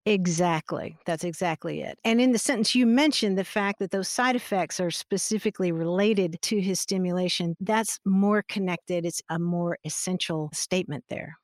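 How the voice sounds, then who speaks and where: A middle-aged woman explaining grammar rules in a relaxed, friendly way, laughing easily as she talks. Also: fairly low pitch, moderate pace, hardly any pauses, no room sound, clean studio sound. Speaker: grammar expert guest. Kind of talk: conversational explanation on a podcast.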